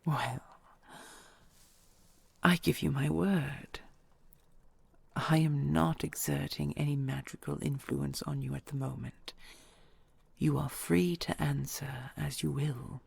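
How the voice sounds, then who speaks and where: A woman playing an ancient dragon queen, a tender and possessive lover, in an intimate romantic audio roleplay, whispery and hushed with formal archaic phrasing. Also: low-pitched, fairly even intonation, slow pace, long pauses, no room sound, heavily acted. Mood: solemn, uneasy. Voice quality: breathy, whispery.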